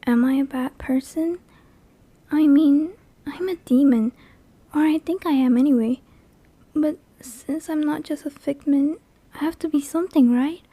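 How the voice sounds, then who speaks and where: A woman voicing a lonely, clingy female sleep paralysis demon in an ASMR roleplay, soft and breathy, hesitant and intimate, often near a whisper, close to the microphone. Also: high voice, a little slow, dry recording. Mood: tentative. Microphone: close microphone, dry.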